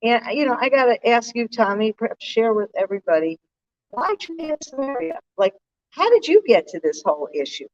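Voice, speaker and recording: A woman's voice: on the high side, big pitch swings, brisk, a little mellow. An American woman co-hosting a live talk from a stage, speaking in an articulate, conversational way to an audience. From United States. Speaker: co-host, organization director. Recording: distant, dull live-event sound.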